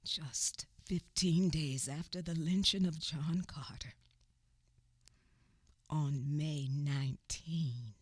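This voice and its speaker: A woman narrating a radio play in a slow, halting way, repeating words, swinging between raised cries and low whispers. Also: darkish tone, slightly rough, no room sound. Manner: drops to a whisper at times. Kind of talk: dramatic spoken-word storytelling.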